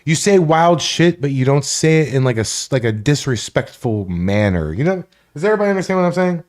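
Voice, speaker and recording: A man's voice: fairly high pitch, very animated, brisk, a little mellow. A man from Florida hosting a casual live stream, rambling, greeting chat viewers by name and swearing freely. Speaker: livestream host.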